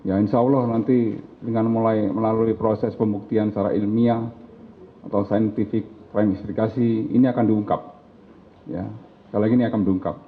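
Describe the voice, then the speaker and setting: A man's voice: fairly low pitch, steady tone, brisk. A male police official addressing reporters at a press conference, peppering his talk with "ya". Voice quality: addresses reporters with frequent "ya" tags. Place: indoor press conference room. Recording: phone-like audio with faint hiss.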